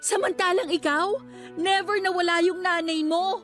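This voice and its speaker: A woman's voice: very high-pitched, fairly even intonation, moderate pace, a bit bright, faint music behind. A woman acting a hurt, earnest teenage schoolgirl in a radio drama, pleading and reproachful as she defends herself.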